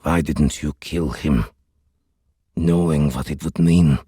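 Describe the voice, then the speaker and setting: A man voicing a grave, weary alien soldier who speaks softly and solemnly in an audiobook. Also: a bass voice, steady tone, slow, husky, no echo, studio quality, exaggerated voice. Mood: grave, resigned. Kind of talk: audiobook character voice.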